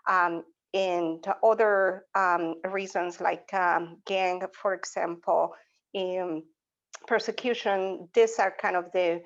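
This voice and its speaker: Middle aged salvadoran accent woman, professor on a panel, careful halting delivery, muffled lofi sound. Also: mid-range voice. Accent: Salvadoran Spanish. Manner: halting, deliberate phrasing. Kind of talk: semi-formal panel self-introduction.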